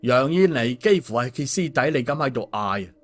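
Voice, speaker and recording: A man's voice: medium pitch, lively intonation, fairly quick. A man narrating a scripted story as an audiobook storyteller. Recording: music behind the voice. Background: background music.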